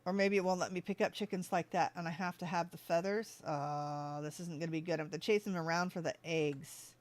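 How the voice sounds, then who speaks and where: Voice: medium pitch, steady tone, brisk. A gaming streamer giving casual gameplay commentary, often talking to the game's animals and to themselves. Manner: talks to game animals and self.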